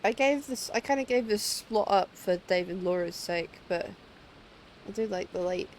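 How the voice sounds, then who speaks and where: A woman's voice: medium pitch, moderate pace, slight background noise. A woman in her late twenties chatting casually as a game streamer. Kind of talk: casual livestream chat.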